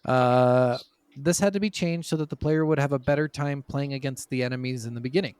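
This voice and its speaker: A man co-hosting a casual gaming podcast, telling stories from his notes in an animated, chuckling way. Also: medium pitch, brisk, clean tone, no echo. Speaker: podcast co-host.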